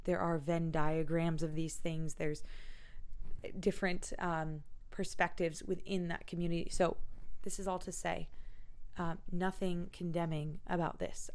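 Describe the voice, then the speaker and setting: Low-voiced young woman with a gravelly edge. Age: 20s. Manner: thoughtful, searching for words with many ums and pauses. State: stuffy from a cold. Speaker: podcast host. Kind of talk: casual solo podcast monologue. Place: at home.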